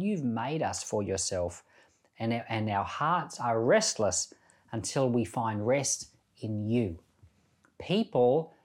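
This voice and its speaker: Man, middle-aged, mid-range pitch, Bible teacher, devotional reading and commentary, conversational, earnest, deliberate phrasing. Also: darkish tone.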